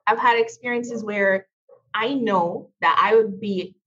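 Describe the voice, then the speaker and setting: A woman's voice: medium pitch, a little slow, fairly bright tone, breathy edge. A Jamaican woman with an uptown Jamaican accent that leans American Southern, talking casually as a podcast guest, laughing easily and repeating phrases for emphasis. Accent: uptown Jamaican, with an American Southern tinge. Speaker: podcast guest, business coach. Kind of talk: casual conversation. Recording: dull lo-fi sound.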